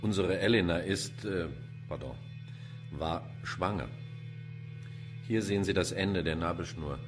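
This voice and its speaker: A very low-pitched older male pathologist in a crime radio play, sardonic and pompous. He talks with dry, theatrical relish, and music plays behind him. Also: a little slow. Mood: sardonic, clinical. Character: sardonic, cynical, poetry-quoting male forensic pathologist. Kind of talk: German crime radio drama acting.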